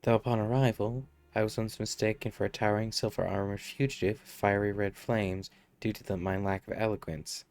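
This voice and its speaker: Low-voiced man streaming a game. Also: flat delivery, a little fast, hardly any pauses, darkish tone. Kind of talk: reading game dialogue aloud, casual live commentary. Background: game music.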